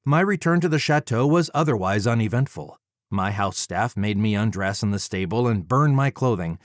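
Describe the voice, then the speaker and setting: A man narrating an audiobook from a script in a measured, formal way. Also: lowish voice, expressive, no room sound, clean studio sound. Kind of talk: scripted first-person narration.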